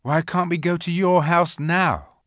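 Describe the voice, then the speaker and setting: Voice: medium pitch, fairly even intonation, measured pace, fairly bright tone, dry recording, studio quality, voice pushed up, lightly acted. A reader voicing an eager, sulky young schoolboy in a children's storybook, whiny and insistent. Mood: impatient.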